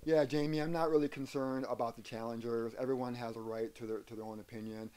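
A mature American man with a mellow, soft-edged voice at an average pitch. He gives casual interview answers, earnest and a little halting, often doubling words. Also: fairly even intonation. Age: middle-aged or older. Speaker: interview guest, aspiring rock singer. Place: wooden sunroom indoors.

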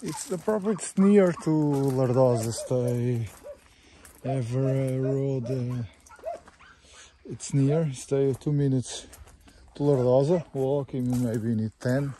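Slow-talking Portuguese man, halting English. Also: mid-range voice, warm tone. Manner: halting, simple English with short phrases. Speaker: real estate agent. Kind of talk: informal property walkthrough. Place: outdoors on a rural farm. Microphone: handheld phone or camera held by speaker. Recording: outdoor handheld recording with faint background noise.

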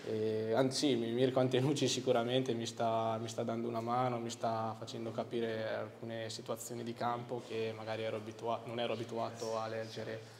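Young male footballer, even monotone delivery, hesitant, handheld mic post-match, faint ambience. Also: medium pitch, normal speed, slight background noise. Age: young adult. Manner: soft-spoken, hesitant with fillers. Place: outdoor sponsor backdrop by a stadium. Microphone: handheld interview mic held close.

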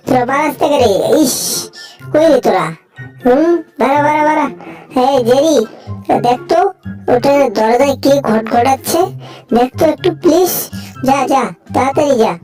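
Panicky, bossy cartoon animal played by a woman, very high voice, Bangladeshi accent, exclamatory and repetitive, music underneath. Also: warm tone, close to an everyday voice. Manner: exclamatory, repetitive wailing and pleading. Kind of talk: amateur cartoon dub.

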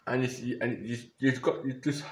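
A man's voice: mid-range voice, fairly even intonation, moderate pace, reverberant. A young man rambling casually through a reaction vlog, often restarting and correcting himself, recorded on a close webcam in a small bedroom. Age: young adult. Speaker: YouTuber reacting to photos. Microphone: webcam or phone camera at close range.

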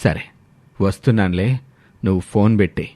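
A man acts out an older father in a family tale. He sounds weary and proud, grumbling with resignation in a lowered pitch. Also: normal speed, mellow, dry recording. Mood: sulky. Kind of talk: dramatised family moral story.

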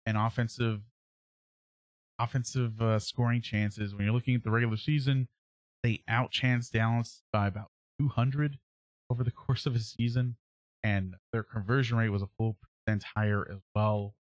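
A man in his thirties hosting a sports podcast, giving casual analysis in a halting way as he thinks aloud. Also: fairly low pitch, a little mellow, no echo, poor audio. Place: small indoor room at home, on a livestream webcam.

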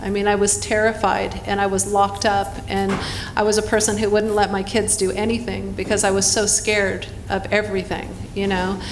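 A woman on a conference panel, speaking in a halting, searching, earnest way about her own experience. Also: medium pitch, flat delivery. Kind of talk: personal reflection on a panel. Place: hotel conference room. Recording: roomy hall with faint noise.

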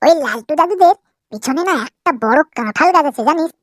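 A man voicing a cheeky, scheming boy in a comedy cartoon, with a pitch-raised voice. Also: animated, brisk, fairly bright tone, no room sound. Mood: sly scheming. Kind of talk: amateur comedy cartoon dubbing.

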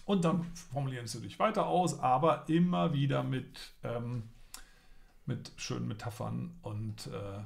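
A middle-aged man with a gravelly, mid-pitched voice, talking in a relaxed, joking way. He chats through a live stream from a desk webcam and reads headlines aloud with wry comments. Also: moderate pace. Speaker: co-host. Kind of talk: casual live-stream discussion. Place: indoor room, seen on video.

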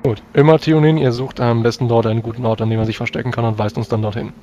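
A man's voice: mid-range voice, fairly even intonation, fast talker, mellow, lo-fi sound. Man at game table, faint noise. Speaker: tabletop role-playing player. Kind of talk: casual game-table chat. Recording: faint background noise, room ambience.

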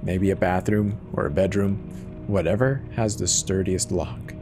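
Low-voiced, reassuring male dispatcher acted by a man. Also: measured pace, music in the background. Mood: steadying. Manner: calm, reassuring, soft-spoken urging. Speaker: emergency dispatcher. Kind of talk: horror audio drama, dramatic reading.

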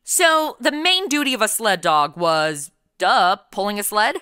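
A woman's voice: mid-range voice, animated, unhurried, bright, forceful tone, clear, resonant tone, no room sound, clean studio sound. A woman hosting a podcast, asking playful scripted interview questions in a perky, chatty way. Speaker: podcast host.

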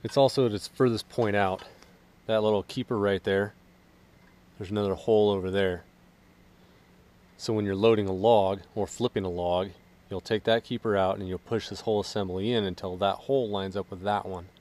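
A man in his thirties giving a relaxed, casual walkthrough of equipment outdoors in a wooded yard, filming himself. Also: lowish voice, moderate pace. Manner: relaxed, explanatory, joking. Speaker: vlogger.

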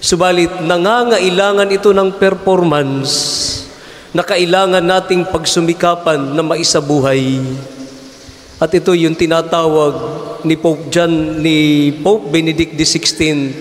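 A Filipino priest in his forties preaching a homily at a lectern mic, measured and asking rhetorical questions. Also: on the high side, steady tone. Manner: measured, asks rhetorical questions, mixes in English terms. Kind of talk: sermon. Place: large church, indoors. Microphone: stand mic at lectern. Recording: echoey church with long reverb.

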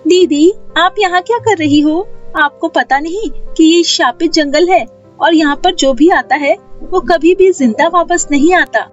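A woman's voice: very high-pitched, measured pace, muffled recording, faint music behind, pitched above the performer's own voice. A woman voicing a good-hearted, earnest young village girl in a cartoon moral story, polite and pleading. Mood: worried.

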